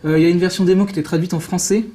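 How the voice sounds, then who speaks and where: Fast-talking, higher-voiced man explaining casually. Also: fairly even intonation, warm tone. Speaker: guest presenting visual novels. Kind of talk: casual explanatory interview talk.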